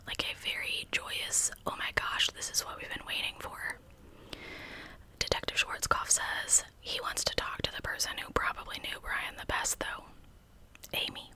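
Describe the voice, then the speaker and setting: A woman's voice: bright, forceful tone, low-quality recording. A woman whispering a true crime story in ASMR style as a channel host, with conversational asides to listeners.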